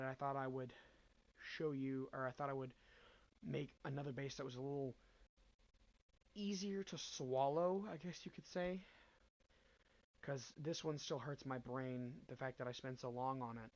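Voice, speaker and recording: A man's voice: medium pitch, steady tone, normal speed, long pauses, lo-fi sound. A young man with a head cold narrates his game build in a loose, rambling way. His mid-range voice is stuffy, full of ums, restarts and second thoughts. He sounds like a casual gaming host at his desk mic. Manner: rambling, hesitant, many ums and self-corrections. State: nasal from a cold.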